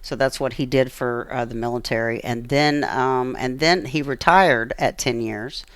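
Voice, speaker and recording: A woman's voice: rumbling low, normal speed, dry recording. An American woman telling personal stories as an interview guest, earnest and emphatic, repeating phrases for emphasis. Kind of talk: personal storytelling in conversation.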